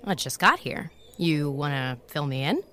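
Low-voiced, sardonic female private eye. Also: moderate pace. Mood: wry, probing. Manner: sardonic, deadpan. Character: wry, guarded female private eye searching for someone. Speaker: narrator and lead character. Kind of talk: first-person noir audio drama narration and dialogue.

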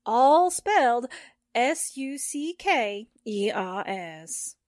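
A woman's voice: a little high, sing-song, very slow, darkish tone, dry recording, studio quality, exaggerated voice. A woman acting a sardonic, wisecracking sidekick to a reporter in a 1940s-style radio drama. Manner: wry, wisecracking.

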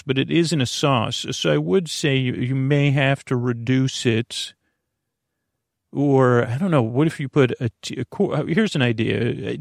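A man hosting a sleep podcast in a gravelly, creaky voice, with a meandering, halting, whimsical way of talking that keeps interrupting itself. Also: medium pitch, no echo, clean studio sound. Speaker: podcast host. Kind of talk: rambling bedtime sleep-podcast monologue.

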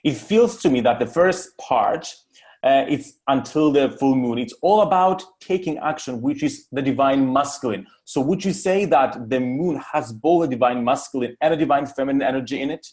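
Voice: medium pitch, lively intonation, normal speed, darkish tone. An interview host chatting casually and guiding a guest from one topic to the next.

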